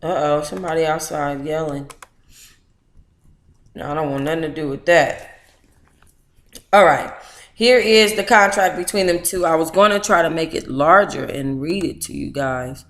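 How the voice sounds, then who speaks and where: A woman's voice: low voice, normal speed, pauses often, fairly bright tone. A middle-aged woman hosting a book club livestream, chatty and candid, with colloquial asides as she talks and reads aloud. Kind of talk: casual livestream chat with reading aloud. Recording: roomy, echoing room sound.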